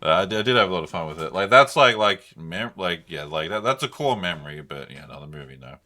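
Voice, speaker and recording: A man's voice: low voice, expressive, fast, bright, forceful tone. A man chatting casually as a podcast host, slangy and informal with some swearing. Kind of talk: casual chat.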